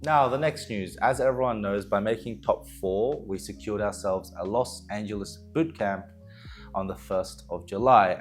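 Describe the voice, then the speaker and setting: A man speaks in a low voice over soft background music. He sounds like a coach addressing fans, explaining team news in an earnest, measured way. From Australia. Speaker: esports coach. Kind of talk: prepared team announcement to fans. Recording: music playing behind the voice.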